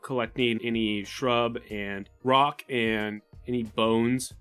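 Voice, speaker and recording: A man's voice: mid-range voice, steady tone, slow, fairly bright tone, smooth, soft background music. A man playing a tabletop game, casually explaining his character's actions in careful, descriptive detail. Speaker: player in a tabletop game podcast. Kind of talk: casual descriptive roleplay narration.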